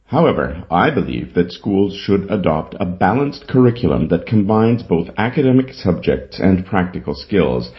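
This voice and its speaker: A man reading an exam essay as scripted narration. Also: deep voice. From Canada. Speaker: essay reader. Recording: slightly dull, lo-fi recording.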